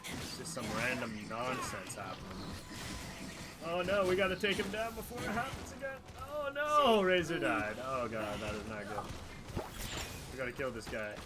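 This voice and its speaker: A man's voice: medium pitch, lively intonation, normal speed. A man doing casual live commentary as he streams a video game. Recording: loud game music behind. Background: game music.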